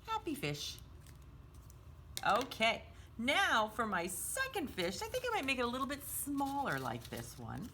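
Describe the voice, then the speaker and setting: A woman's voice: a little high, huge pitch swings, unhurried, sharp tone, a little hiss or hum. A woman hosting a children's storytime craft, explaining each step in simple, sing-song, child-directed language.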